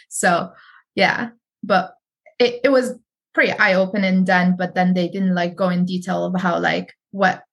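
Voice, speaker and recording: A woman's voice: mid-range voice, gravelly. A woman from Morocco, a guest in a casual chat, talking at length with ums and laughs. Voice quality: chatty, rambling with ums and laughs.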